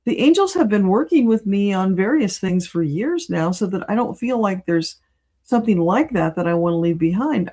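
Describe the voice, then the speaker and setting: A woman's voice: lowish voice, mellow. A woman speaking as a guest in a casual conversational interview. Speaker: guest, spiritual channeler and reader.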